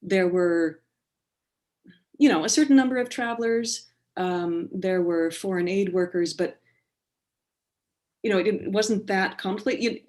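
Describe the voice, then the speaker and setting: A Canadian woman poet talking over a video call, measured and thoughtful, with many pauses and restarts. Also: on the low side, a little mellow. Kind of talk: online book launch conversation and poetry reading.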